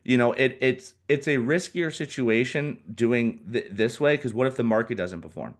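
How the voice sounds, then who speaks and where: This is a man in his forties, a financial advisor talking on a casual podcast. His voice sits at an ordinary middle pitch. He talks with energy and slips into quick impressions of the people he quotes. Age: 40s. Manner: animated, acts out quoted people in put-on voices.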